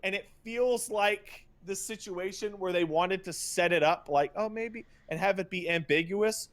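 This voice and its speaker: A man's voice: sits high, fairly bright tone. A man hosting a podcast, ranting casually about video games in an emphatic, profane, stop-and-start way. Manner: emphatic, profane, halting with repetitions and mocking paraphrases. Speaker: podcast host.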